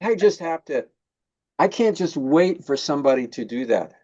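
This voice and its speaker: A man's voice: a little high, lively intonation, moderate pace, a little mellow. Hesitant man, dull lo-fi sound. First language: English. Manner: hesitant, thoughtful, many fillers when speaking Korean. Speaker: host. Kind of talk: casual conversation.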